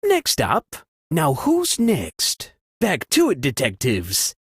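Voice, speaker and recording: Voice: mid-range voice, wildly swooping pitch, slow, fairly bright tone, slightly rough, no room sound, studio quality, full character voice. An actor playing an anxious, exasperated, posh party host in a murder mystery video game, with a theatrical, fretful delivery. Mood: relieved, brisk. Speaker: game character voice lines. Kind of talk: theatrical comedic game voice acting.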